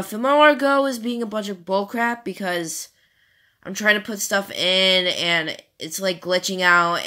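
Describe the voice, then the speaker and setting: A woman's voice: lowish voice, pushed and bright. A young woman chatting casually and rambling with lots of fillers, sounding tired, recorded on a phone. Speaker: amateur comic dubber. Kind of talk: casual chat.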